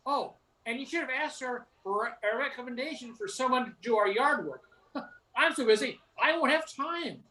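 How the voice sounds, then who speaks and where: A man's voice: very high voice, animated, moderate pace, bright, forceful tone, heavily acted, poor audio. An older man playing a hardworking, mild, apologetic suburban husband in his thirties in an amateur radio play. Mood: mild regret.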